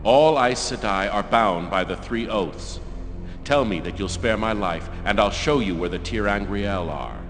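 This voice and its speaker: An actor playing a desperate, bitter assassin in a fantasy video game, with a dramatic, sardonic delivery. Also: male voice, lowish voice, unhurried, close to an everyday voice. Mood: wary, sardonic. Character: desperate, bitter assassin, servant of a dark lord. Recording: long cavernous reverb under music.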